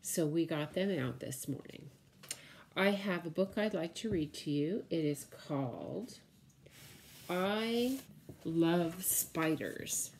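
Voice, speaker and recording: Slow, low-pitched woman reading to preschoolers. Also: expressive, a little mellow, a little hiss or hum. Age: middle-aged. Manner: slow, deliberate, drawn-out delivery with simple explanations. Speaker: preschool teacher reading story time. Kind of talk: picture-book read-aloud for small children. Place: indoors, room at home with window blinds.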